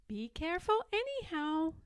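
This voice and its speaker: Storybook mother, voiced by a woman: cautioning and knowing, firm words, very varied pitch. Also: very animated, normal speed, no echo, clean studio sound. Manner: knowing, admonishing. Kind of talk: children's storybook read-aloud.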